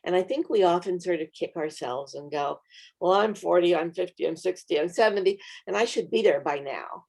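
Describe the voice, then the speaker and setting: A woman with a slightly low-pitched voice hosts a small-group Bible study. She speaks conversationally, in a reflective and encouraging manner, as if advising group members. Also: a little fast, vocal fry, a little mellow, husky. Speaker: Bible study video host.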